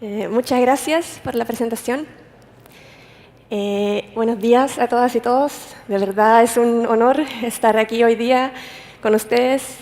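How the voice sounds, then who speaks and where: Woman giving a conference talk, higher voice, careful, lots of restarts, echoey big hall. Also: steady tone, measured pace, a little mellow. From Finland. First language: Finnish. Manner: careful, with frequent restarts and hesitations. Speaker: conference speaker.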